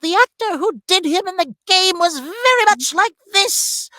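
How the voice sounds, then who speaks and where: A man voicing a tiny, squeaky, Munchkin-like video game creature. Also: lively intonation, measured pace, pushed and bright, no room sound, clean studio sound, heavily acted. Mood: bright, squeaky.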